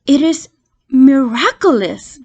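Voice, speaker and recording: Woman as a slow-spoken clever father fox. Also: high voice, lively intonation, a little mellow, lo-fi sound, pitched above the performer's own voice, heavily acted. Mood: awed. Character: calm, clever father fox, leader of the animals.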